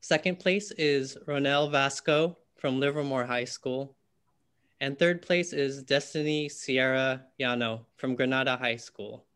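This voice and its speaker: A man's voice: mid-range voice, fairly bright tone, smooth. A young man hosting an awards ceremony over a video call, chatty and friendly with frequent fillers. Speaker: event host. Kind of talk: casual video call presentation.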